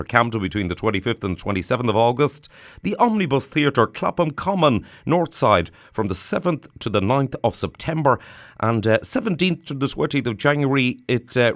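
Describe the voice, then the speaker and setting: A man hosting a radio interview, speaking in a measured way with some hesitation as he puts his questions. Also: mid-range voice, no room sound, studio quality. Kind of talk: radio interview with scripted introduction.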